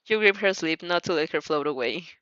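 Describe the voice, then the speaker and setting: A woman narrating anime fan fiction in an expressive, giggly storytelling manner. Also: deep voice, a little fast, dry recording, highs cut off.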